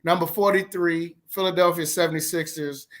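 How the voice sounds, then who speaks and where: A man's voice: a little high, fairly even intonation, normal speed, fairly bright tone. A man co-hosting a casual podcast, playful and slangy as he hypes up the episode. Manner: playful, slangy, hyping up the show. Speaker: podcast co-host.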